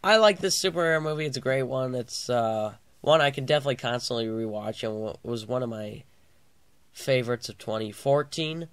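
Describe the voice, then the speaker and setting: A man's voice: mid-range voice, brisk, a bit bright, clear, resonant tone, dry recording. A man with a clogged nose and sore throat, rambling casually through a movie review vlog with many fillers and restarts.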